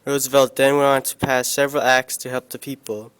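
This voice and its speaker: A young man reading a school-project news report in a flat, even way. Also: mid-range voice, a little fast, smooth. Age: teens.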